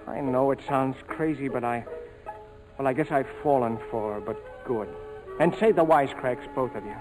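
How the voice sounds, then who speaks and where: A man's voice: mid-range voice, normal speed, music in the background, natural voice, poor audio. An actor playing an earnest, lovestruck, stubborn young man in a 1940s radio drama, speaking with plaintive urgency. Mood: worried, lovesick.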